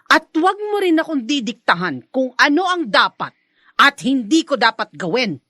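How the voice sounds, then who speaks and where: An actress playing a defiant, manipulative wife in a Filipino radio drama, haughty and domineering, with a Filipino accent. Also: female voice, sits high, sing-song, normal speed, sharp tone, no room sound, studio quality, heavily acted. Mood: defiant, irritated. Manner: haughty, scolding.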